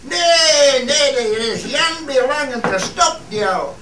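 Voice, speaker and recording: A man's voice: very high voice, animated, takes it slowly, heavily acted. A man playing a jovial rustic shepherd in a radio play, speaking broad Cumbrian dialect. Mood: amused. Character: jovial rustic shepherd describing stray sheep. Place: theatre studio. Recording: roomy, distant mic, faint noise.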